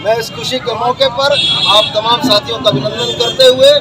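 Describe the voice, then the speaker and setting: Male local politician, very high-pitched, addressing an outdoor gathering over heavy crowd and street noise. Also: steady tone, moderate pace, loud music behind the voice. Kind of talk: public political speech. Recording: noisy outdoor crowd and traffic.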